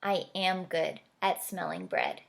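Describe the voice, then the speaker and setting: A woman's voice: on the low side, very animated, slow pace, gravelly, exaggerated voice. A woman voicing a humble, slightly dim female bird who asks small, earnest questions.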